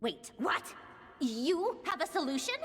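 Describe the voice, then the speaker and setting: An actress playing a proud, hot-tempered young scientist in a video game, with a prickly, expressive manner. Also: female voice, high-pitched, unhurried, long reverb tail, slight background noise, heavily acted. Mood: indignant.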